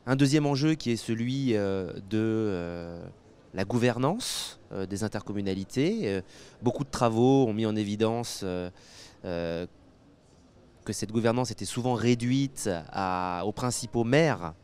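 A man in his thirties with a French accent speaks in a fairly low, smooth voice. He explains things like an academic being interviewed, pausing and restarting as he measures his words. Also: lively intonation, a little mellow. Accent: French from France. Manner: hesitant, measured, with frequent pauses and repeated words. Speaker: guest expert, academic geographer. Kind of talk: interview answers, analytical explanation. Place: indoor interview set at a convention. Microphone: handheld microphone.

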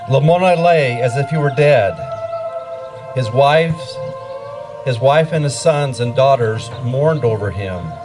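A man's voice: mid-range voice, animated, slow pace. A middle-aged man reading scripted scripture narration into a handheld microphone at an outdoor pageant. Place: outdoor wooded stage. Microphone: handheld microphone through PA. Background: music playing.

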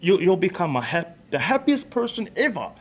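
A man's voice: sits high, fairly quick, breathy edge. Earnest Samoan-accented man in a studio. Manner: earnest, animated hand gestures. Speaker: guest, health show host. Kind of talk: casual studio chat. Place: small indoor studio. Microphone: headset with desk mic.

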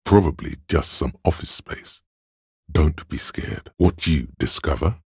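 An actor playing a detective's inner voice of logic in a video game, a grave, analytical man with a gravelly rasp and a deliberate, dry, detached delivery. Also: rumbling low, expressive, no echo, exaggerated voice. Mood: cool, deducing.

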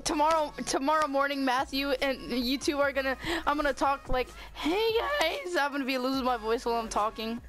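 A woman's voice: high-pitched, brisk, hardly any pauses, a bit bright. A woman chatting casually while playing a game online, laughing and squealing nonstop over a headset. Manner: giggly, constantly laughing and squealing. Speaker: gamer. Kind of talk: casual gaming chat.

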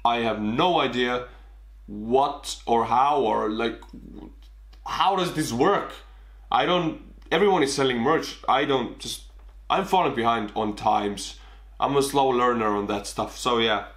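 Young man, thick Estonian accent, excitable vlogger, echoey small room. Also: mid-range voice, a little slow. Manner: animated, big hand gestures, bursts of excitement. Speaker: YouTuber. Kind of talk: casual vlog to camera. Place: small bedroom indoors. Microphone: camera on desk.

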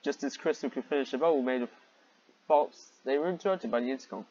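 A man's voice: medium pitch, fast talker, darkish tone, highs cut off. A man reading fan fiction aloud and commenting on it in a sarcastic, exasperated, sweary manner.

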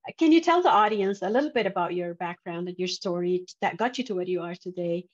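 A woman's voice: fairly low pitch, fast talker. A middle-aged woman interviewing a guest conversationally as host, recorded over a video call. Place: indoor room, video call. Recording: muffled band-limited video call audio.

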